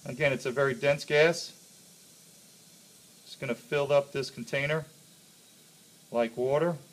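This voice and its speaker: A man's voice: medium pitch, fairly even intonation, a little slow. A man speaking as a chemistry teacher, explaining a hands-on demonstration step by step and checking in as he goes.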